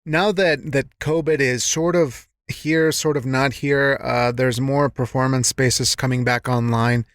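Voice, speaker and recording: A man's voice: medium pitch, steady tone, measured pace, fairly bright tone, no echo, studio quality. A man hosting a conversational interview in an appreciative, encouraging manner. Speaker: podcast host, interviewer.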